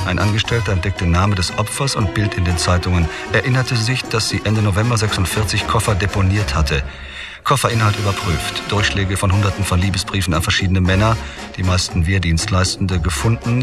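A man acting as a police official reads a case file in clipped, impersonal phrases. His low voice sits away from the mic, radio-like, with music playing clearly behind it. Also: lightly acted. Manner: impersonal, telegraphic. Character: man reading out a terse police case report. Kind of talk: clipped official report reading. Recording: distant radio-like voice over music.

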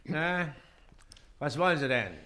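A man's voice: fairly high pitch, expressive, takes it slowly, bright, forceful tone, slight background noise, exaggerated voice. An actor playing a pompous, officious male district official in a theatrical German radio play, condescending and impatient. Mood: self-important, brisk.

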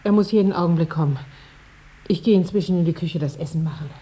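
An actress playing a farmer's curious, matter-of-fact wife with a brisk, questioning manner in a 1950s German radio play. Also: female voice, fairly low pitch, warm tone, a little hiss or hum, close to an everyday voice.

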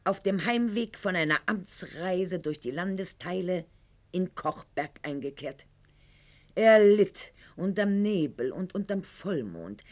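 Radio play role: haughty, self-dramatising noblewoman of the classical age, lowish voice, very measured pace, mannered theatrical diction. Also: full character voice. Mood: deliberate, confiding. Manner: mannered, articulate, declamatory, swinging to hushed confiding tones. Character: proud, haughty aristocratic lady of Goethe's era, sentimental and self-dramatising.

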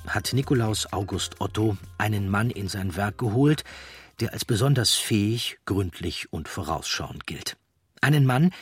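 Male documentary narrator, low and slightly rough voice, deliberate scripted delivery. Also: a bit bright. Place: dry studio.

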